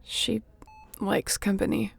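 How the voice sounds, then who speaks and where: A woman playing a wounded starship captain in a sci-fi audio roleplay, wry and caring, speaking haltingly and softly with a breathy, gravelly voice. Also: medium pitch, flat delivery, full character voice. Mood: tender. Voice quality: gravelly, often breathy and whispery.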